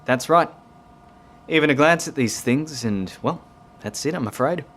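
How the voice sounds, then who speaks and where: A man's voice: fairly high pitch, expressive, moderate pace, fairly bright tone, slight background noise. An Australian-accented man playing a courteous, grave navy commander in an audio drama, polite and plain-spoken.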